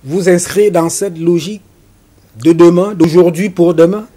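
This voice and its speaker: Emphatic husky host. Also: male voice, high voice, steady tone, dark tone. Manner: emphatic, rhetorical, slows down to stress points. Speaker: TV talk show host. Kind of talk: hosting a studio interview. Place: TV studio.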